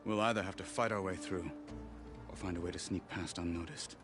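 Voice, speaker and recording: Male actor as grim warrior, very low voice, terse, vengeful, deadpan, video game dialogue, music behind. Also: a little fast, lightly acted. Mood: grim, resolute. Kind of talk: video game character acting.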